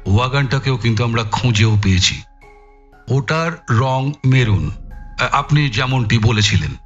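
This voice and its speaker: An actor playing a brusque, menacing, sarcastic police inspector in an audio drama, needling with emphatic, taunting politeness. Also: male voice, medium pitch, animated, unhurried, muffled recording, faint music behind. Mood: smug, pointed.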